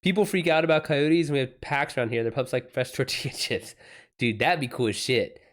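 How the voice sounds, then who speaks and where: A man's voice: medium pitch, fairly quick. A man talking casually as a livestream host, informal and slangy, swearing now and then.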